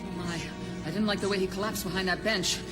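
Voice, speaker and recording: A woman's voice: medium pitch, steady tone, fast, loud music behind the voice, lightly acted. An actress playing an anxious, caring female superhero in a radio drama.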